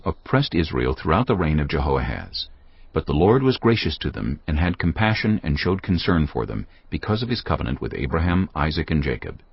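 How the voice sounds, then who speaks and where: Man, extremely deep voice, gravelly, solemn scripted narration, soft music behind. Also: no echo, lo-fi sound.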